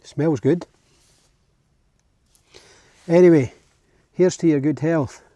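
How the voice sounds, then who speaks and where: A man with a Scottish accent and a husky voice, chatting casually and informally to camera outdoors as a camping vlogger. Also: a little high, slow, no echo. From Scotland. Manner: relaxed, informal, chatting as he goes. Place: outdoors at a lochside campsite.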